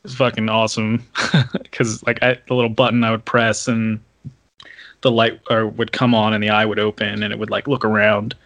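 A man's voice: lowish voice, little pitch movement, moderate pace, a bit bright, dry recording. A man chatting casually on a podcast, telling a laid-back story and laughing often.

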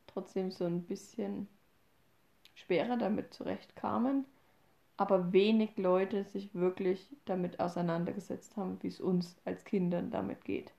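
Female podcast co-host, mid-twenties, from Upper Franconia, gravelly fry, casual delivery, roomy echo. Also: medium pitch, warm tone. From Upper Franconia, Germany. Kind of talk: casual conversational podcast talk. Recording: roomy, echoey sound.

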